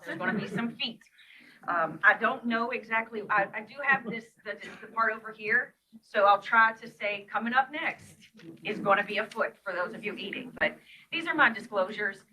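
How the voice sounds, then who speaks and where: A woman's voice: medium pitch, sharp tone, recorded from a distance, poor audio. A middle-aged woman from East Texas giving a grand rounds lecture as a vascular surgeon, chatty and storytelling, with self-deprecating asides. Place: lecture hall.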